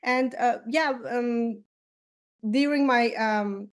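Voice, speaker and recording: A woman from Iran, a children's book illustrator, answering interview questions with many pauses and fillers. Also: on the high side. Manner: hesitant, many ums and uhs. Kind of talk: casual interview.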